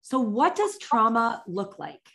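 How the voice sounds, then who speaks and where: A woman's voice: a little high, very animated, unhurried, fairly bright tone. A woman interviewer hosting a conversation in a casual, conversational style. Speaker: interviewer, host.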